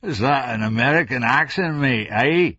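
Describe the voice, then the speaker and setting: A man's voice: medium pitch, lively intonation, moderate pace, bright, forceful tone, dry recording, dull audio, voice pushed up. A man voicing a menacing, foul-mouthed Scottish tough in an audiobook, pushy and scornful. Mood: pushy, overfamiliar. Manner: pushy, scornful, coldly insistent.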